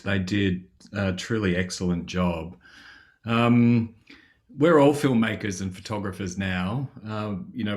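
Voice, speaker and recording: A man presenting a project live online in a measured, formal way. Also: lowish voice.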